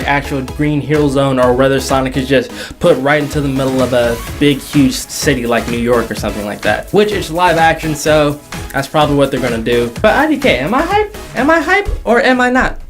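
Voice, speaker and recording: A young man hosting a casual entertainment commentary, jokey and slangy, stretching words for emphasis. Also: a little high. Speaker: video host. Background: background music.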